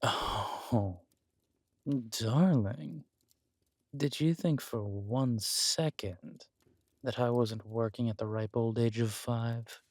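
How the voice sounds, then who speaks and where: A man's voice: mid-range voice, slow, a little mellow, no room sound. A man playing a scheming, flirtatious villain king in an intimate roleplay audio drama, with a languid, teasing, menacing delivery. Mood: wry, teasing.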